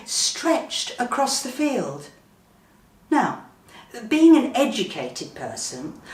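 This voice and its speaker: A British woman reading a comic first-person story in an earnest, self-important, theatrical manner. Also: mid-range voice. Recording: roomy, slightly distant microphone.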